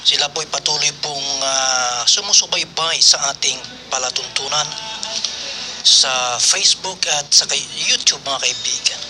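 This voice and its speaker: A man with a Filipino accent hosting a radio program, greeting listeners and reading out names in a deliberate way. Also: mid-range voice, noisy background. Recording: music playing behind radio voice. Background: music.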